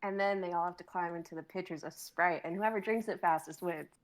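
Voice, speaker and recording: A young woman in a comedy sketch table read, as a writer pitching ideas. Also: brisk. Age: young adult.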